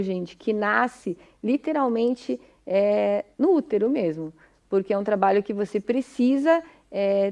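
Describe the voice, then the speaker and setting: A woman's voice: mid-range voice, darkish tone. A woman with a Brazilian Portuguese accent giving an informal, didactic talk as a speech therapist, often adding little check-in tag questions.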